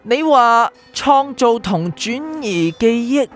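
A woman's voice: fairly high pitch, expressive, slow. A woman playing a polite, evasive memory doctor in a radio drama, formal and deferential, with a Hong Kong Cantonese accent. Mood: apologetic, deferential. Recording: music bed behind the voice.

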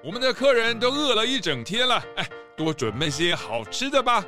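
A man's voice: high-pitched, big pitch swings, brisk, sharp tone, soft background music, exaggerated voice. A man playing a jovial, hospitable panda school principal in a children's audio drama, effusive and full of exclamations. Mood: hospitable, eager.

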